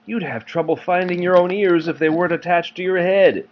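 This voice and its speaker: A man's voice: high voice, a little fast, muffled recording, voice pushed up, natural voice. A man voicing a bossy, exasperated young rabbit girl who chides her brother in a children's read-aloud. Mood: scolding. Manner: bossy, chiding.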